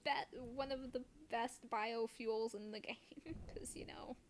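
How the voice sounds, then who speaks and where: A woman with a fairly high voice streams a video game live. She talks casually and playfully to her friends as she plays. There is a little noisy ambience behind her. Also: steady tone, slow pace. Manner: playful, jokey.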